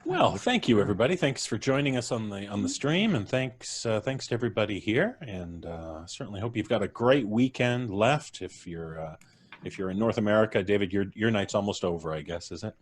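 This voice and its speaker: A man's voice: fairly low pitch, very animated, brisk, husky. A man hosting a casual chat after a live reading, with dry, wry humor. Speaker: host. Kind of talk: casual post-show chat.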